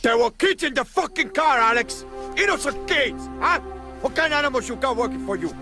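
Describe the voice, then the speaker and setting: An actor playing a vengeful, foul-mouthed Cuban drug lord with a Cuban accent in a video game. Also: male voice, very high voice, expressive, brisk. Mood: furious, vengeful. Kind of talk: video game cutscene acting. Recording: music behind the voice.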